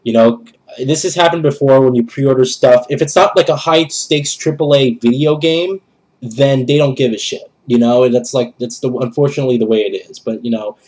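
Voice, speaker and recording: A man's voice: mid-range voice, moderate pace, darkish tone, low-quality recording. A young man with a nasal, congested voice from a cold, chatting casually to camera as a gaming vlogger in a bedroom. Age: young adult. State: has a cold. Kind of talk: casual rambling channel update to camera. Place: small bedroom, indoors.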